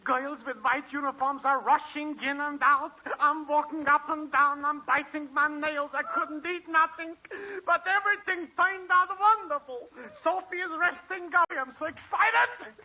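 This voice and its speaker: A flustered, gushing little butcher, with an extremely high, piercing voice. He rattles out exclamations in old radio comedy style, on a dull vintage recording. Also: fairly even intonation, moderate pace, hardly any pauses, sharp tone, heavily acted. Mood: nervous, elated. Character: flustered, overexcited butcher, a married man. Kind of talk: 1940s radio comedy acting.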